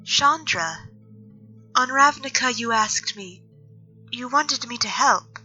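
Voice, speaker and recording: A woman playing an earnest, hesitant, introspective elf in an audio drama, speaking in a measured, tentative way. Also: on the high side, expressive, bright, forceful tone, dull audio, soft background music, full character voice. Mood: earnest, concerned.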